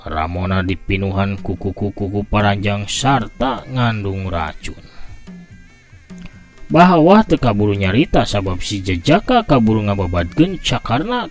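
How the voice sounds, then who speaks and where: A man from West Java telling a martial arts story slowly, with vivid sound effects. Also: on the low side. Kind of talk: scripted storybook narration.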